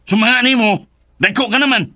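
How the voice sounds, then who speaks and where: An actor playing an obsessed, scheming older man in a radio drama, excitable and hot-tempered, blustering with a Filipino Cebuano accent. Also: very high-pitched, a little fast, dry recording, studio quality, heavily acted. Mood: agitated longing. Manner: agitated, blustering, swings from muttering to yelps. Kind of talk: Philippine radio drama acting.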